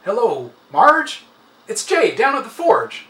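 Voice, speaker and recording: A man playing a grave male forge coworker, urgent, halting and sorrowful, in a dramatic story reading. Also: very high voice, wildly swooping pitch, sharp tone, pitched above the performer's own voice, exaggerated voice. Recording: roomy, slightly distant, faint noise.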